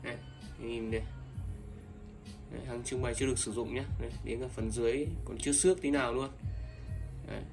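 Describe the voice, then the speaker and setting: A man selling used fishing reels in a casual, repetitive sales talk, filling pauses with ums and calling his buyers brothers. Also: mid-range voice, fairly even intonation, gravelly. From Vietnam. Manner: repetitive, many fillers, addresses buyers as brothers. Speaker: fishing tackle seller. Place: indoors, table of fishing reels. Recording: distant mic, music playing behind.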